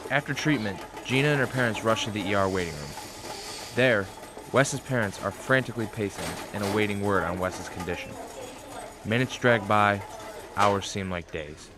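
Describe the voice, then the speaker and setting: A man's voice: fairly low pitch, normal speed. A man reading scripted dramatic narration as the narrator of a radio play. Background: music.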